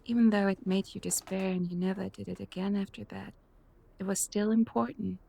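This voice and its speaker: A woman playing calm, frank Rim, creaky voice. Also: mid-range voice, fairly quick, no echo.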